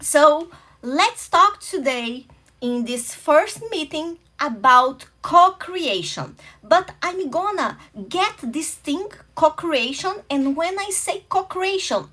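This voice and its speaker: A woman's voice: sits high, bright, forceful tone. A woman from Brazil with a Brazilian Portuguese accent, a native Portuguese speaker hosting a motivational talk, earnest and welcoming, sometimes searching for English words. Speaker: host.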